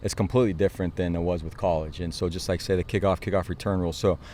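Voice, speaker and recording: A man's voice: low-pitched, steady tone, rapid-fire, a little mellow, a little hiss or hum. An American man, a football coach, answering interview questions in an earnest, explanatory and informal way.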